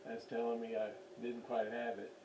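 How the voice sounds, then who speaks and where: A man giving an unscripted hands-on demonstration at a workbench, halting as he thinks aloud while he works. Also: medium pitch, completely flat delivery. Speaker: radio restoration hobbyist, demonstrator. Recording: distant mic with faint background noise.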